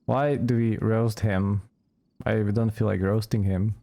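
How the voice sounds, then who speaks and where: A man giving casual, low-key, musing commentary as he plays through a game. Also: steady tone, a little slow, gravelly, dark tone. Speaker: let's play gamer.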